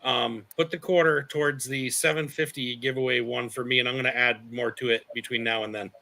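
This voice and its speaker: Bright-voiced man in his forties. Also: mid-range voice, steady tone, fairly quick. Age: 40s. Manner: rambling, self-correcting, laughs often. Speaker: channel host. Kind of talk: casual livestream chat.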